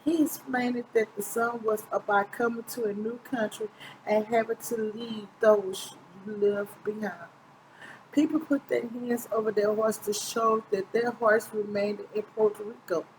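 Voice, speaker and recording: Middle-aged lady doing a storybook read aloud, kinda high voice, informal, noisy webcam sound. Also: steady tone, measured pace. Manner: informal reading with stumbles and self-corrections. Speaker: read-aloud host reading a children's picture book. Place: small indoor room, seated close to the camera.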